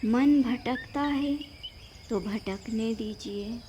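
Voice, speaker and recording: A woman leading a guided yoga nidra meditation, giving measured instructions in short phrases with long pauses. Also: fairly high pitch, dark tone, a little hiss or hum.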